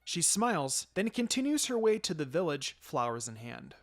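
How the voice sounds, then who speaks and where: A man's voice: on the high side, sing-song, normal speed, soft background music. A man reading fan fiction aloud as a dramatic story narrator.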